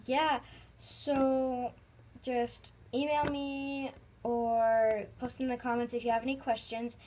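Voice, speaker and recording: High-pitched woman, noisy phone recording. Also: level tone, slow pace, a little mellow. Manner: rambling, informal, trailing off. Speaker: host of a toy video channel. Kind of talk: casual vlog narration. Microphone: phone held near the speaker.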